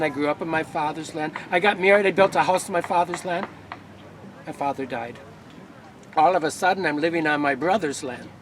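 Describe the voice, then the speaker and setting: A man playing an old-time Norwegian immigrant fisherman, telling tall tales in a droll, deadpan way outdoors. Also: on the high side, recorded from a distance, natural voice. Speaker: living-history storyteller. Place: outdoor festival grounds. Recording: outdoor noise, voice a bit off mic.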